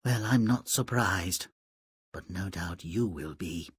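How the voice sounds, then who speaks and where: Raspy, sarcastic magic fish. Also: male voice, low-pitched, takes it slowly, dry recording, clean studio sound, exaggerated voice. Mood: sarcastic, exasperated. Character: magical talking fish, wounded, sarcastic, long-suffering male. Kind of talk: video game fairy-tale voice acting.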